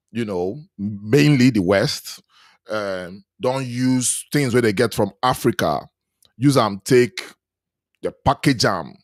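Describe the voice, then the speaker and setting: A man co-hosting a live podcast, explaining a topic in a measured way with frequent fillers. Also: fairly low pitch, no room sound, clean studio sound. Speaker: podcast co-host. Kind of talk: casual chat, live podcast.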